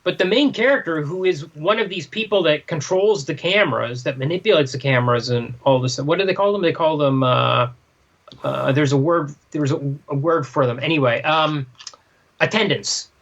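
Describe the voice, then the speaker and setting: Male co-host, high-pitched voice, questioning think-aloud conversational style, on a slightly muffled lo-fi line. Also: normal speed, fairly bright tone. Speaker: podcast co-host. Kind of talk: casual discussion of a graphic novel.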